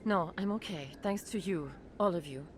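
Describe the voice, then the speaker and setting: A woman's voice: lowish voice, normal speed, background noise, lightly acted. An actress playing a frightened female clinic doctor in a video game, who sounds shaken but earnest.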